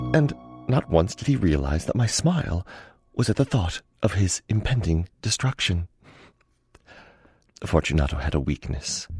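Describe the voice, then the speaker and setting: An actor playing a cunning, vengeful nobleman in a radio play, theatrical and measured, with falsely deferential politeness. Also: lowish voice, darkish tone. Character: cunning vengeful nobleman, outwardly fawning, secretly scheming. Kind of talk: dramatic radio play narration and dialogue.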